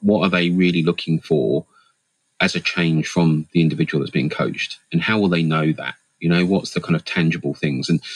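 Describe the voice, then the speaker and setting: Deep-voiced man, measured and thoughtful. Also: breathy edge, no echo, low-quality recording. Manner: thoughtful, measured, with frequent fillers and restarts. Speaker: interview guest, HR leader and coach. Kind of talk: reflective interview answers.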